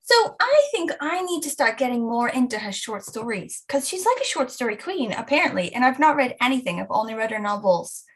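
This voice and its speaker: A woman's voice: fairly high pitch, sing-song, a little fast, hardly any pauses, fairly bright tone. Gushing young woman. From Wales. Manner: gushing, lots of "like" fillers. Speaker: booktuber co-host. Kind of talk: casual book chat.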